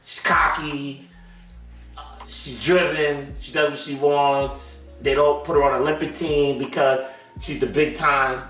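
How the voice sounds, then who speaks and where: A man co-hosting a sports podcast, talking in a relaxed, knowledgeable way that often trails off. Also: fairly high pitch, a little slow. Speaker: podcast co-host. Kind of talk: casual sports talk. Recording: echoey room, far from mic, faint music.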